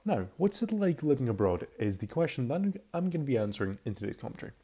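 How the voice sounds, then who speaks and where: A man's voice: medium pitch, very animated, quick pace, dark tone, husky. A twenty-year-old man with a Scottish accent, giving a casual, chatty and candid voice-over commentary. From Scotland. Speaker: video commentator.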